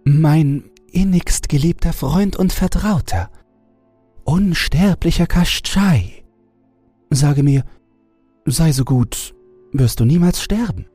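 A man voicing a young fairy-tale princess in an airy falsetto, sweet, pleading and falsely affectionate. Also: takes it slowly, long pauses, mellow, breathy edge, dry recording, soft background music. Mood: coaxing, curious.

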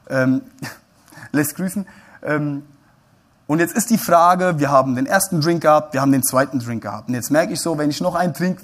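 Male keynote speaker, slightly high voice, playful, quizzes the crowd from the stage. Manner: lively, joking, addresses the audience directly with questions. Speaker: keynote speaker at a brokers' congress. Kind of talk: live stage talk to an audience. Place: congress stage before an audience.

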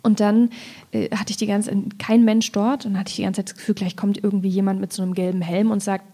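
A woman's voice: medium pitch, little pitch movement, fast talker, warm tone. A woman speaking as a poet in an informal literary conversation, thinking aloud in a reflective way. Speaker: poet in discussion.

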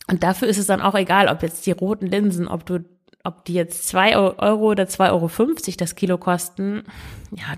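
A woman with a cold talks through money-saving tips as a podcast host, addressing the listener directly in a relaxed, chatty way. Her voice sits a little low for a woman. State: has a cold.

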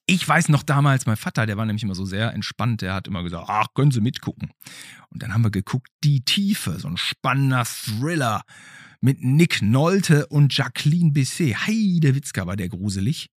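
A man, middle-aged, hosting and telling stories in a casual, chatty way with a husky voice. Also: medium pitch, normal speed, dry recording, studio quality. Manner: animated, chatty. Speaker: host. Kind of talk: casual storytelling and chat with a child.

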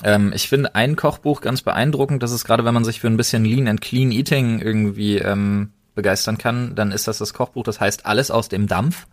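A man's voice: on the low side, fairly even intonation, normal speed, hardly any pauses. A man co-hosting a podcast, chatting casually in slangy, colloquial language. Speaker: podcast co-host.

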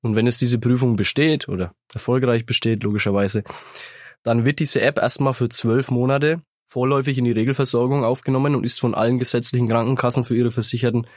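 A man with a slight cold talks through a business topic at an even, measured pace, often tagging his points with a quick "ne?". His voice sits at an ordinary middle pitch. The studio sound is close and dry. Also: dry recording. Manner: measured, explanatory, with "ne?" tags. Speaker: sales manager, interview guest. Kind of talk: business interview answers.

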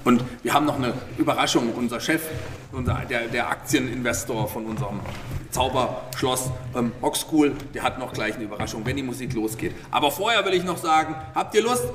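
A man's voice: mid-range voice, fairly quick. A man hosting a party in a children's audio play, calling out questions to a cheering crowd of children. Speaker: party host leading a group of children. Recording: echoey noisy hall, far off, loud crowd.

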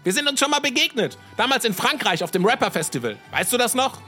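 A chummy, curious little mouse for a children's audio play. The delivery is bright and very expressive, moving freely up and down. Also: female voice, fairly high pitch, fast talker, soft background music. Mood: friendly, curious. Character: friendly, curious little mouse living on church tower.

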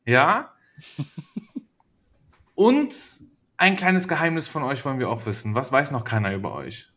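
A man's voice: mid-range voice, very animated, unhurried, a bit bright. A man hosting a podcast in casual chat, playful and teasing, laughing often. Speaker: podcast host.

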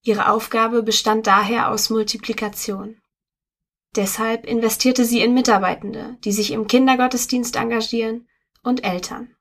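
A woman reading a magazine article aloud in a steady, measured scripted narration on a close studio mic. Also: a little high. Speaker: article reader.

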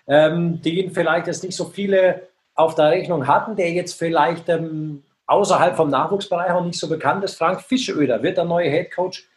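Higher-voiced man co-hosting a podcast. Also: normal speed. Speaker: podcast interviewer. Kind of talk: conversational lead-in to questions.

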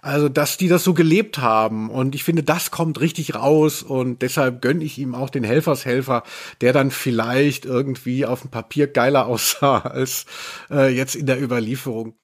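A man's voice: medium pitch, moderate pace. A man hosting a podcast, chatting casually about audio dramas. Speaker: podcast host.

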